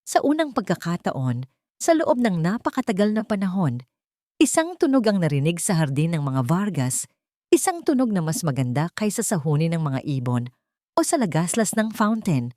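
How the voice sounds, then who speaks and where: Female storyteller for romantic drama narration, lower register, very lively intonation, dry close studio sound. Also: normal speed, no echo.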